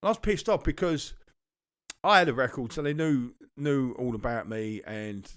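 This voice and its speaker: A man's voice: medium pitch, moderate pace, fairly bright tone, smooth, dry recording, studio quality. A man with a London accent, co-hosting a podcast and telling a long rambling anecdote with plenty of swearing. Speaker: podcast co-host. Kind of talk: casual storytelling chat.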